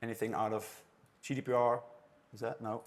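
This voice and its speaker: A man's voice: mid-range voice, flat delivery, brisk. Dutch man moderating a conference panel. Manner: chatty, restarts phrases often. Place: conference room with an audience.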